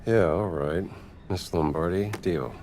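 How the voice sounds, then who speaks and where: A man playing a gruff, wry American archaeologist adventurer in a cinematic game, dry and sardonic. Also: low-pitched, measured pace, a little mellow, a little hiss or hum. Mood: matter-of-fact.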